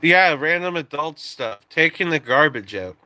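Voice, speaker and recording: A man's voice: a little high, expressive, a little slow, bright, forceful tone, clean tone. A man in his late twenties, chatting casually on a live stream in a rambling, joking way that often breaks off and restarts. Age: 20s. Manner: rambling, self-interrupting, joking.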